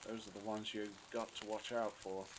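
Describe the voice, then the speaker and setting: A man's voice: fairly low pitch, level tone, moderate pace, slight background noise, lightly acted. A man playing a bossy, suspicious, practical captive in a fan audio drama, with a sarcastic, domineering manner. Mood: suspicious, disdainful.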